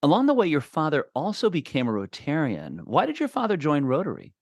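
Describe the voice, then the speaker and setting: Lively-voiced male interviewer, dry sound. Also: a little high, a little fast, no echo. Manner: prepared, clearly phrased prompts. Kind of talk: prepared interview questions.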